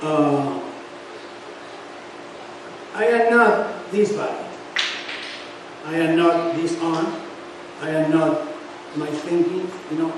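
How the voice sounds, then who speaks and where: Man lecturing in an echoey temple hall. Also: on the high side. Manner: halting, many fillers; leads call-and-response chanting. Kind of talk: sermon. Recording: echoey hall, mic at a distance, noisy.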